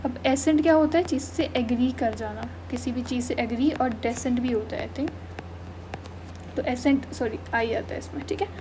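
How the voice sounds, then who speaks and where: A woman's voice: on the high side, moderate pace, noisy background. A young woman teaching English vocabulary in an exam-prep class, mixing Hindi and English, often checking in with "okay" and "done". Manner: mixes Hindi and English, frequent "thik hai" and "done" check-ins. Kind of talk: online class explaining vocabulary.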